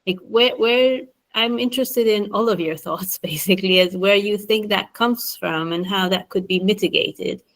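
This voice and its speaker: A woman's voice: mid-range voice, normal speed, hardly any pauses, fairly bright tone. A woman asking questions in an informal academic discussion, thinking aloud tentatively and repeating her words. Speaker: seminar participant asking questions.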